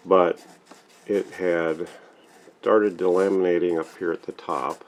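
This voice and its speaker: Low, gravelly man demonstrating workshop repairs. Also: a little mellow. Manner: plainspoken, narrates each step as he works. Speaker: vintage radio restorer and host. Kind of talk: casual hands-on workshop demonstration. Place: home workshop.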